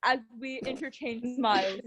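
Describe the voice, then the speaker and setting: A woman's voice: fairly high pitch, normal speed, a crowd in the background, rough recording. A woman reading a scripted story aloud in an earnest, dramatic way. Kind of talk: scripted narration read aloud.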